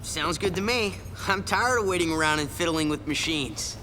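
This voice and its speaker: An actor playing an excitable, friendly young male survivor in a video game cutscene. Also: a little high, normal speed, a little hiss or hum, poor audio. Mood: earnest, explaining. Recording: music clearly under the voice.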